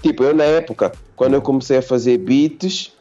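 A man's voice: mid-range voice, fairly quick, muffled recording, faint music behind. A man from Portugal, a beat producer rambling casually in a livestream interview and saying yeah a lot. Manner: relaxed, rambling, says yeah often.

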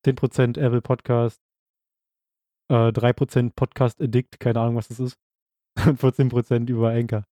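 A young man from Brandenburg co-hosting a podcast, matter-of-fact and reading out numbers slowly. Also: medium pitch, level tone, dark tone, no room sound, clean studio sound. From Brandenburg, Germany. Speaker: podcast co-host. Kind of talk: casual podcast chat, reading out stats.

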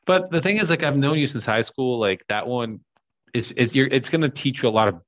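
A man's voice: medium pitch, fairly quick, no room sound, lo-fi sound. A young man, a podcast guest, talking casually in a rambling, upbeat way with lots of fillers. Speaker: podcast guest, crypto investor.

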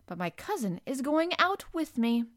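A woman's voice: sits high, sing-song, moderate pace, creaky, a little mellow, pitched above the performer's own voice, heavily acted. A woman voicing an imperious, sickly young boy in a storybook, with grand, commanding announcements. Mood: haughty, assured.

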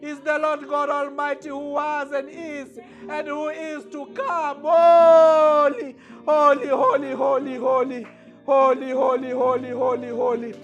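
A man leading a passionate, repetitive prayer as a pastor, often raising his voice to a shout. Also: very high-pitched, completely flat delivery, unhurried, muffled recording, soft background music. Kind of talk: fervent spoken prayer and worship.

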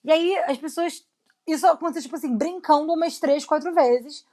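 Woman, young adult, Rio Brazilian accent, fairly high voice, casual anecdote telling, slangy. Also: fairly quick, dark tone. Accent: Rio de Janeiro Brazilian. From Rio de Janeiro, Brazil. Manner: slangy storyteller, acts out quoted lines. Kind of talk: casual chat, animated anecdotes.

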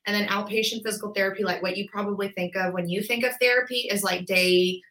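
A woman's voice: medium pitch, steady tone, quick pace, a bit bright, poor audio. A woman in her twenties, a physical therapist, chatting casually as a podcast guest and explaining her work with many "like"s and "um"s. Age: 20s. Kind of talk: casual interview chat.

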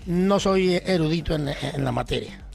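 A man hosting a studio interview in a notably high voice. He banters jovially and frames his questions in simple, everyday terms. Faint music plays softly behind him. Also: moderate pace, gravelly. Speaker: host. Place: studio table.

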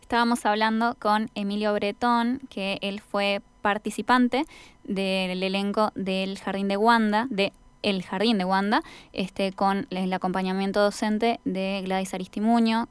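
A young Argentine woman hosts a radio interview in a conversational, effusive style. Her voice is clear and resonant, sitting at a medium pitch, with a dry studio-like sound. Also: fairly even intonation, a little slow, no echo. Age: young adult. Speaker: radio host.

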